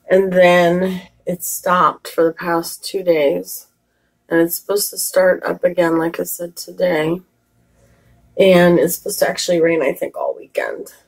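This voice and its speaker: A woman with a scratchy, slightly hoarse voice, chatting casually through a makeup tutorial with rambling asides and fillers. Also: lowish voice. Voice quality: scratchy, raspy voice. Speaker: makeup vlogger.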